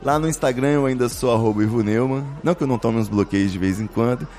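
A man's voice: medium pitch, fast, a little mellow. A Brazilian man hosting a podcast in casual, slangy chat, joking and teasing as he talks. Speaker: podcast host.

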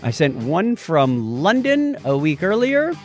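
A man's voice: on the high side, wildly swooping pitch, slow, darkish tone, faint music behind. A man hosting a podcast in a casual, playful, joking style. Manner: jokey, playful. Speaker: podcast host. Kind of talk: casual chat, listener shout-outs.

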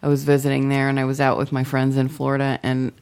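Female co-host, low-pitched voice, wry and blunt, swears casually in relaxed conversation. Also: fast, clean tone. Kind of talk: casual chat.

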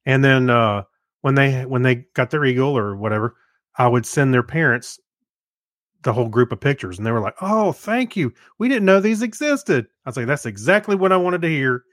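A man's voice: mid-range voice, expressive, moderate pace, fairly bright tone, dry recording. Casual podcast man, close dry sound. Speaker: podcast co-host. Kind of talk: casual chat, personal anecdotes.

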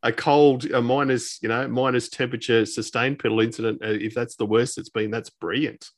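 A man's voice: mid-range voice, fairly even intonation, fairly quick, dry recording, clean studio sound. A man co-hosting a casual interview, enthusiastic, with long rambling questions. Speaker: podcast co-host, interviewer.

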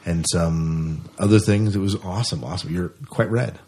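A man's voice: deep voice, moderate pace, vocal fry, warm tone, husky. A man co-hosting a podcast in casual, rambling chat, often repeating his phrases. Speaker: podcast co-host.

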